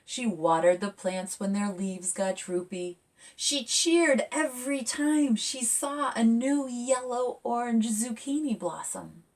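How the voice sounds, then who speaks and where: A woman children's author talking and reading a picture book aloud for young listeners in an expressive, engaging way, as if asking them along. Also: fairly high pitch, slow pace, distant mic.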